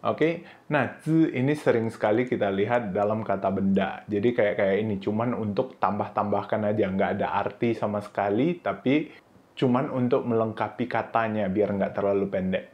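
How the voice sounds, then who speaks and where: A man teaching Mandarin pronunciation in a casual, instructive way, often saying "oke" and "nah", and sounding out syllables in high, drawn-out bursts. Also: a little mellow, dull audio. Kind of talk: pronunciation lesson video.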